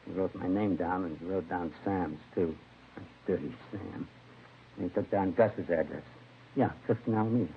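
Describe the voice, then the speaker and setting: An actor playing a hungover American laborer in a 1950s radio crime drama, slangy, aggrieved and rambling. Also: male voice, low-pitched, moderate pace, vocal fry, highs cut off. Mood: groggy, recollecting. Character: grumbling, hungover male laborer in jail. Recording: thin, faintly noisy vintage radio recording.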